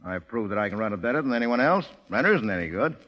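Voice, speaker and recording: An actor playing a cool, cocky undercover cop posing as a racketeer, sardonic and clipped, American, in a 1930s radio drama. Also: male voice, on the low side, sing-song, fast talker. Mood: cool, confident. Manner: sardonic, self-assured, clipped. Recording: muffled lo-fi 1930s radio recording.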